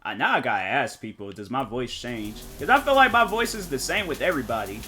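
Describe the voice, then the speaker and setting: A man streaming a game and chatting casually, rambling and thinking out loud with lots of fillers. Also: mid-range voice, big pitch swings, fairly quick. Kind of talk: casual live-stream chat.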